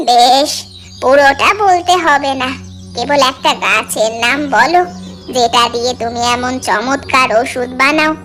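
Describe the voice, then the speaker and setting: A woman voicing an inquisitive, cautious middle-aged village auntie in a cartoon folk tale, speaking in an expressive, coaxing way. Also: sits high, measured pace. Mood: coaxing, curious. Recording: voice over prominent background music.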